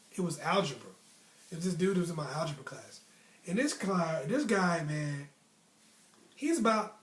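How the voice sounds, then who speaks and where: A young American man telling personal stories casually and giving motivational advice, with an animated, emphatic, slangy delivery. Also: fairly high pitch. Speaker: storytelling host. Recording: roomy, echoey sound.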